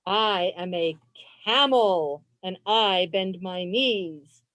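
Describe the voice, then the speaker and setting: A woman librarian reading a children's picture book aloud in an expressive, encouraging way. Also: medium pitch, slow, no echo. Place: indoors on a video call.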